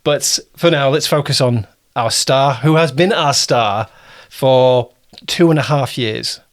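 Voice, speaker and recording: A man's voice: medium pitch, a little slow. Informal, rambling man interviewing. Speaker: interviewer and producer. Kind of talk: casual interview chat.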